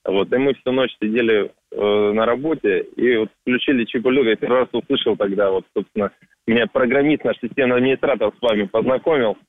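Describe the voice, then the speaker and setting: A man's voice: medium pitch, fairly quick, no echo. A man in about his thirties from southern Russia, a caller chatting casually and enthusiastically on a radio show. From Rostov-on-Don, Russia. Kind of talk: casual phone chat. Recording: band-limited phone line.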